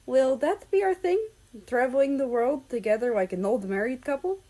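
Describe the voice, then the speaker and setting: A woman's voice: sits high, animated, moderate pace, mellow, pitched above the performer's own voice. Woman doing a laid back teasing guy on a trip, thoughtful, storybook dialogue. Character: easygoing, teasing male travel companion.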